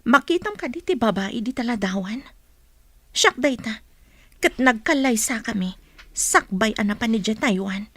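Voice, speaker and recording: A woman's voice: a little high, expressive, moderate pace, fairly bright tone, no echo. A woman playing a devoted, sentimental young sweetheart in an Ilocano radio drama, with an Ilocano accent. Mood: guarded. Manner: earnest, sentimental.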